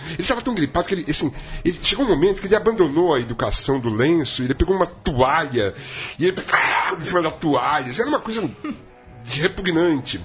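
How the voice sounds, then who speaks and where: Man rambling with sardonic, lively swings. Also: fast talker, faint music behind. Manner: rambling, sardonic storytelling with big swings in pitch. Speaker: podcast co-host. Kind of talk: casual comedic chat.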